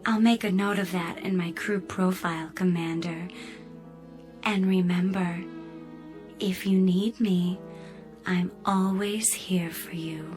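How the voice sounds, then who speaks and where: Slow-speaking, polite female ship's computer. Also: lowish voice, steady tone, darkish tone, soft background music. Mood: sweetly attentive. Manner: measured, courteous, literal-minded. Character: polite, solicitous female spaceship computer, coolly needling. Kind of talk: radio drama acting.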